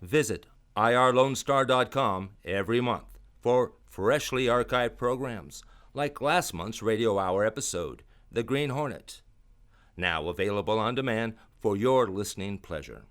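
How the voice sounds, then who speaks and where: A man's voice: fairly low pitch, expressive, a little slow, a bit bright. A man announcing for an old-time radio show in a scripted presentational style.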